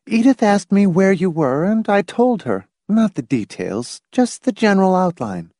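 A man voicing a sly, commanding wife with a brisk manner, in an audiobook. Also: sits high, very animated, dark tone, no echo, clean studio sound, pitched above the performer's own voice, exaggerated voice. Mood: coolly sly. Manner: clear, commanding.

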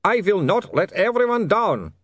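A man's voice: a little high, very animated, moderate pace, no room sound, voice pushed up. A man voicing an anguished, polite male vampire in an audiobook, with a trembling, wailing voice and melodramatic, pleading delivery.

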